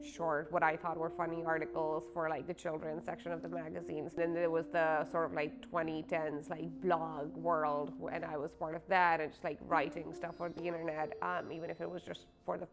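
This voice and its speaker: A woman's voice: low voice, little pitch movement, moderate pace, creaky, few pauses. A woman author from Pakistan, talking casually in an interview about her writing with many fillers. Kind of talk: interview, personal story.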